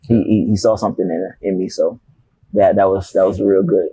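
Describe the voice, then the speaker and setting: A man's voice: low voice, steady tone, fairly quick, dark tone, slightly rough, no room sound, poor audio. A young man, a rapper telling stories in a casual interview, laid-back and slangy. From Miami, Florida. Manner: laid-back, slangy, loose storytelling with profanity. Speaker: rapper guest.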